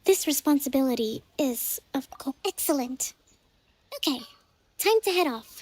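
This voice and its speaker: A young priestess and leader from a video game. Her voice is high and gentle. She speaks with composed, courteous formality, choosing words diplomatically. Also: expressive, no room sound. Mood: calm, reflective. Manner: measured, polite, formal. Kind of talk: English video game voice acting.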